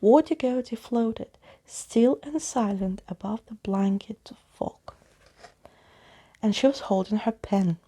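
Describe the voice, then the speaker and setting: A woman reading a story aloud as a soft-spoken, unhurried and expressive ASMR storyteller. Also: mid-range voice, husky.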